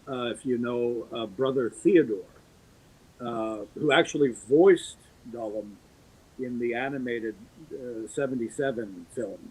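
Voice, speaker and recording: Husky middle-aged man reminiscing. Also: mid-range voice, a little mellow, rough recording. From US East Coast, Baltimore. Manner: reminiscing, thoughtful. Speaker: actor and radio drama guest. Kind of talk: casual chat.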